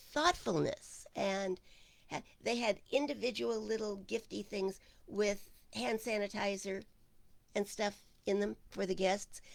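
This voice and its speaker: A woman minister hosting a casual online service, chatting with viewers and greeting them by name, her voice hoarse and gravelly. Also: mid-range voice, dry recording. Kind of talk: casual livestream chat with viewers.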